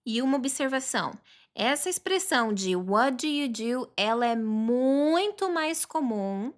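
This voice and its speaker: Woman, 30s, upbeat online English teacher, slightly high pitch, repeats phrases slowly. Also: lively intonation. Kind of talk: online language lesson.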